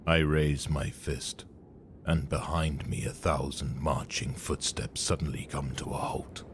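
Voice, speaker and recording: A man narrates a dramatic story in the first person with a low voice. Clear ambient noise runs behind his narration. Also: fairly even intonation, a little slow.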